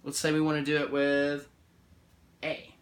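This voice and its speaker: A man's voice: a little high, completely flat delivery, a little slow. Easygoing man teaching music theory. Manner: easygoing, often checks in with "right?"; restarts and corrects himself mid-count. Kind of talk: casual guitar and music theory lesson. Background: guitar playing along.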